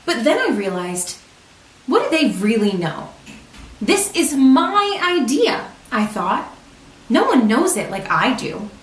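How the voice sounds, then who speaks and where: Female storybook reader, highish voice with lively melody, deliberate children's read-aloud delivery, recorded in a roomy space. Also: slight background noise. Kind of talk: read-aloud picture book narration. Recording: roomy echo, distant microphone.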